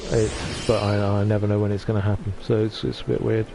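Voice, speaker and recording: A man from North Wales streaming from his sofa at home. He chats gently with his viewers and often stops and restarts. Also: fairly low pitch, little pitch movement, brisk, creaky, over music. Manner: gentle, hesitant, with many restarts. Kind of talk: casual chat reading out viewer comments.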